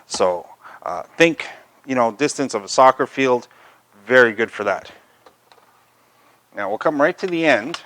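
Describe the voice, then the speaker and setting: Male product reviewer, medium pitch, unscripted and explanatory, very little room echo. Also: animated, unhurried, pauses often, a bit bright. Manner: explanatory, conversational.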